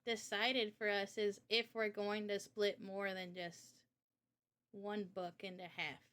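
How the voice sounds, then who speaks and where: A woman's voice: mid-range voice, level tone, unhurried, fairly bright tone, clean tone. A woman in a casual interview chat about games, speaking informally and with opinions as the host. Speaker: host and game developer.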